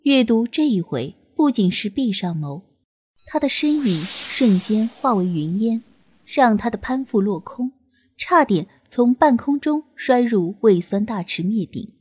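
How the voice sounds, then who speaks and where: A woman narrating a romance audiobook in a soft, lilting storytelling voice. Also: fairly high pitch, animated, measured pace, slight background noise.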